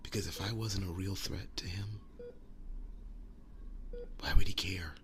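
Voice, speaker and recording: A man playing a tender, wounded, earnest werewolf boyfriend in an intimate ASMR roleplay, breathy and often whispering, speaking in halting, soft reassurance. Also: low voice, steady tone, background noise. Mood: earnest, reassuring.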